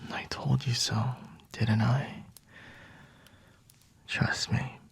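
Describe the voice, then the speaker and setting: A man playing a flirty, possessive vampire in an intimate ASMR roleplay, whispering slowly and teasingly in a breathy, husky voice. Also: medium pitch, steady tone, dry recording, heavily acted. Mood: seductive, soothing.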